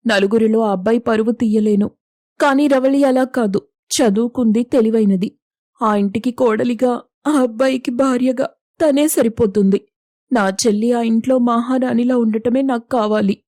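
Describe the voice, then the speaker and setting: A woman's voice: fairly high pitch, normal speed, mellow, dry recording, clean studio sound, natural voice. A woman playing a humble, self-sacrificing young village elder sister in a moral story, earnest and pleading. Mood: tearful, pleading. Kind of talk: Telugu moral story dialogue.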